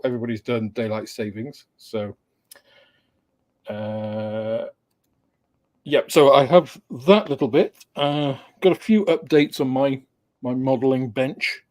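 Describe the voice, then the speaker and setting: Guy hosting a hobby stream, mid pitch, rambly with lots of uhs and ums, talking into a home computer mic. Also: a little mellow. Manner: meandering, hesitant, with frequent filler sounds; chatty and welcoming, with light chuckles. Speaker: host of a model-building livestream. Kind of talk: casual live chat.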